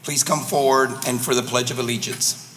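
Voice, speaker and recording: A man chairing a school board meeting in a formal, courteous way, his voice slightly hoarse. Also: mid-range voice, fairly even intonation, fairly quick, a bit bright. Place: large echoey boardroom. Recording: echoey hall, faint room noise.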